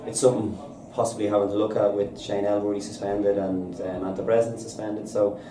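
Irish manager, 30s, post-match chat: low, even-toned voice, rattled off fast, roomy echo, crowd noise behind. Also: male voice, distant mic. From Ireland. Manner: upbeat, fast and repetitive, says "listen" a lot. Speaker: football manager. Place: bare indoor room at a stadium. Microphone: reporter's handheld recorder held out. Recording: echoey room, off-mic, crowd behind.

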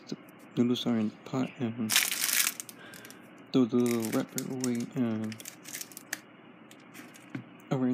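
A young man casually narrating his own home toy video, quietly and plainly. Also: mid-range voice, heavy background noise.

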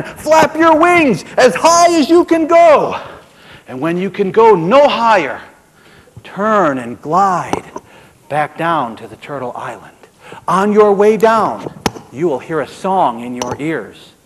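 A man voicing a wise creator spirit who speaks solemnly and slowly to a council of birds. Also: high-pitched, a little hiss or hum. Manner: solemn, commanding, slow. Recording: long hall reverb.

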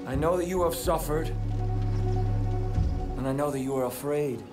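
An actor playing a middle-aged, fervent cult prophet and father in a video game, preaching in a measured, solemn manner over music. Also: fairly high pitch, close to an everyday voice. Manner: slow, measured, biblical preaching. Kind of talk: dramatic game cutscene acting, preaching. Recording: music under the voice.

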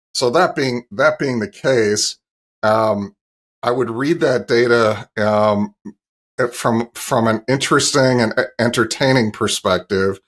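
A middle-aged man with a fairly low voice speaks as an interview guest on a video call. He explains business matters like an industry analyst, indoors in a home office. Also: a bit bright.

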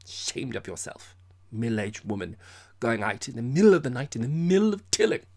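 A man's voice: medium pitch, big pitch swings, fairly quick, darkish tone, pitched above the performer's own voice. A man voicing a drunken, indignant middle-aged man in an audiobook, with portentous, insistent, stumbling speech. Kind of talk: audiobook character voice.

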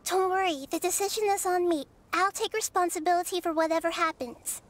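A woman voicing a gentle, wise, childlike young goddess in an anime game, soft and thoughtful. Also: extremely high pitch, brisk. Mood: resolved, reassuring. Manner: thoughtful, calm, articulate. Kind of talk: scripted anime game dialogue.

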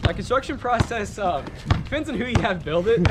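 A man's voice: sits high, animated, fairly quick, heavy background noise. A young man hosting a casual DIY vlog, laid-back and joking as he talks outdoors. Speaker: host. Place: outdoor paved lot beside a building.

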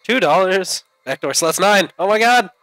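A man's voice: sits high, animated, normal speed, a bit bright, no room sound, rough recording. A man hosting a gaming video with casual, jokey commentary, muttering to himself and exclaiming. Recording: game music behind the voice. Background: video game music.

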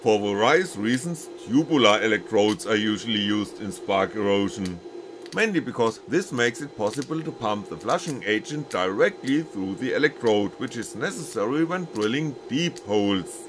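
A man's voice: fairly low pitch, expressive, normal speed, noisy background. A man reading scripted technical narration as a maker presenting his own project.

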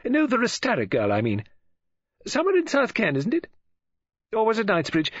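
A man voicing an excitable, scatterbrained middle-aged woman novelist in an audiobook, gushing and rambling in a flustered way. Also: sits high, moderate pace, slightly rough, no room sound, muffled recording, pitched above the performer's own voice, heavily acted. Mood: chatty, gushing. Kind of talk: audiobook character dialogue.

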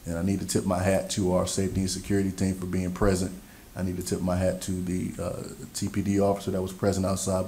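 A young adult man school board member with a Pacific Northwest American accent, speaking at a desk microphone in a board meeting room and giving a relaxed, upbeat report. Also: low-pitched.

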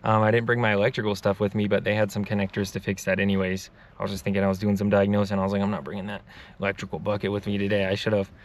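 A man's voice: on the low side, level tone, rapid-fire. A young man, a mobile mechanic, talking casually to the camera, venting about his work and explaining repairs step by step.

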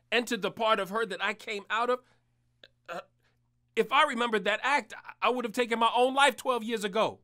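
Defensive tormented husband in a radio play, solemn and pleading, bright tense voice. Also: male voice, sits high, exaggerated voice. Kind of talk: dramatic audio drama acting. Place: small recording studio. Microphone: studio mic on boom.

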